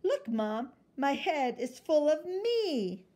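A wide-eyed little girl from a storybook, voiced by a woman reader, with a high voice. She is earnest and imaginative, and speaks in exclamations. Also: big pitch swings, takes it slowly, warm tone, exaggerated voice. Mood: proud.

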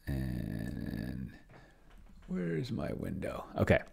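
A man's voice: very deep voice, wildly swooping pitch, extremely slow, gravelly, a little mellow, breathy edge. A middle-aged man giving casual think-aloud commentary on a screen share, rambling and muttering to himself as he reads and types. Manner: rambling, muttering to himself while reading and typing. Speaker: host, solo roleplayer testing an AI dungeon master. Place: indoor home room with shelves.

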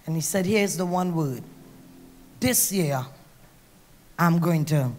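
A middle-aged woman from Trinidad preaching an emphatic sermon on a handheld mic, going from hushed to loud. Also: on the low side, slow pace. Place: large banquet hall on stage. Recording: long hall reverb.

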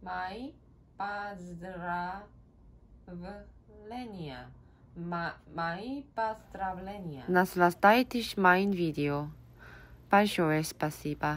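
Slow halting Korean-accented woman. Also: mid-range voice. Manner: careful, halting word-by-word reading. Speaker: ASMR creator. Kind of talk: slow ASMR reading practice. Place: home room at a desk.

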